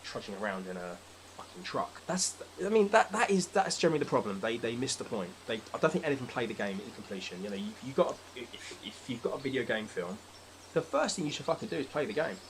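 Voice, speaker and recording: A man's voice: mid-range voice, big pitch swings, fast, low-quality recording. A man speaking as a podcast guest in casual, opinionated chat, exasperated and sweary. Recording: clear background noise.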